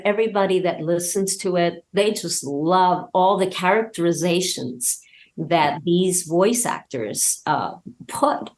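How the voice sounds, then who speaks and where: Low-pitched woman chatting in an interview. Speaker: podcast guest and voice actor. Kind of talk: casual interview chat.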